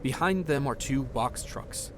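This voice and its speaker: A man's voice: mid-range voice, expressive, unhurried, noisy background. Narrator: man, mid-range voice, scripted audio drama storytelling, slight background ambience.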